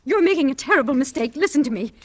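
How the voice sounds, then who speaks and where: An actress playing a devoted, quick-witted woman in a 1940s radio drama, earnest and insistent. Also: high voice, lively intonation. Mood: firm, insistent. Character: devoted, quick-witted woman protecting the man she loves.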